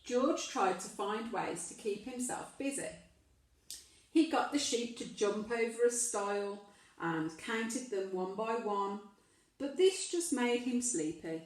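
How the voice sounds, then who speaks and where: Lady reading kids a story, takes it really slow and emphatic, super echoey, bit far from mic. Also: mid-range voice, lively intonation. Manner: deliberate, emphatic storytelling for young children. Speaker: primary school storyteller. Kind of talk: children's story read aloud, with questions to listeners. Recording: very echoey room, distant microphone.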